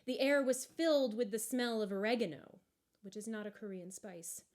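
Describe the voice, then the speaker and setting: Expressive woman reading a holiday story. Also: fairly high pitch, normal speed. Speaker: story reader. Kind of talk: expressive children's story narration.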